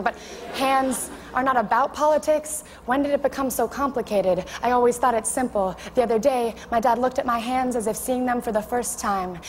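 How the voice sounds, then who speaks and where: A young woman performing a spoken word poem in a tender, measured storytelling cadence. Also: on the high side. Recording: echoey hall with faint noise.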